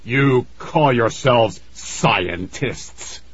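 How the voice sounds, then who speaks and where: Man voicing a slow, menacing, vengeful ghost villain. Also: on the low side, lo-fi sound, a little hiss or hum. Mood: raging. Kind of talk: dramatized audiobook villain.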